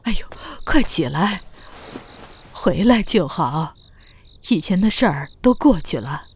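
Woman acting animated motherly older matriarch. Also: on the low side, slow, slight background noise. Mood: fond, forgiving. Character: affectionate, firm older mother-in-law and grandmother. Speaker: voice actor in an amateur audio drama. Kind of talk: Chinese period audio drama acting.

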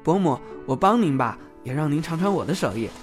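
A man's voice: on the high side, big pitch swings, fairly quick, faint music behind. A man playing a bashful, easily flustered but polite young policeman in an audio drama. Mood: polite, friendly. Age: young adult.

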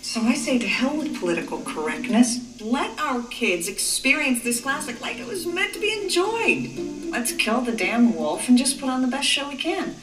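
A woman's voice: high-pitched, sing-song, normal speed. An actress playing an assertive, defiant suburban mother in a TV drama, with an American accent and a firm, pointed delivery. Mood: rousing, defiant. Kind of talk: TV drama acting. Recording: distant echoey room, music behind.

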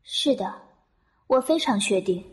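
A woman's voice: medium pitch, animated, measured pace, lightly acted. A woman playing a proud young female angel, curt and defiant, in an audio drama. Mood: cold. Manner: cold and curt, flaring sharply. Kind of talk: Chinese audio drama acting.

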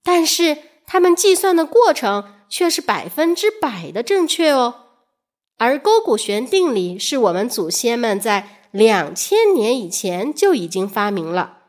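A woman narrates an educational story for children. Her voice sits a little high and moves up and down in a very animated way. She addresses young listeners directly, drawing them in with questions. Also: a little slow.

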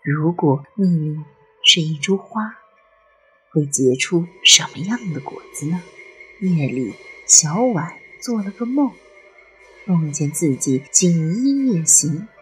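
A woman narrating an audio drama in a measured, atmospheric storytelling manner. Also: on the low side, low-quality recording.

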